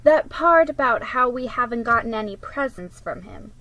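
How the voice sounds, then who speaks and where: A woman's voice: a little high, animated, normal speed, pushed and bright, voice pushed up, low-quality recording. A woman voicing a know-it-all older sister, a girl with a patient, lecturing manner, in a storybook. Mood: patiently lecturing.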